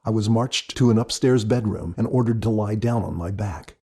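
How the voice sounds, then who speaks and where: A man reading scripted first-person audiobook narration in a measured storytelling manner. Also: fairly low pitch, mellow, slightly rough. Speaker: author narrating his audiobook.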